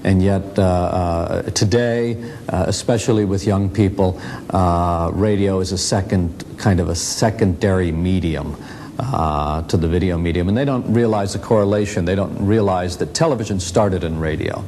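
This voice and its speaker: Male museum spokesman, American, fairly low voice, emphatic but halting interview delivery, slight rumble behind. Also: warm tone. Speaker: radio museum representative. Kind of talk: explanatory interview talk. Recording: faint rumbling background noise.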